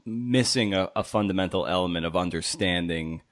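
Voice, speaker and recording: A man with a low voice interviews a guest in a conversational podcast style. His questions are reflective and wandering, assembled piece by piece. The sound is dry and close. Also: normal speed, dry recording. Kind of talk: conversational long-form interview.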